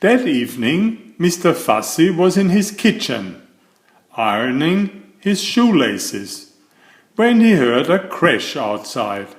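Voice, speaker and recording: A man narrates a children's storybook with measured expression. His pace is unhurried and his intonation lively. The room around him adds a clear echo. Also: on the high side. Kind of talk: children's storybook read-aloud. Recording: roomy, echoing sound.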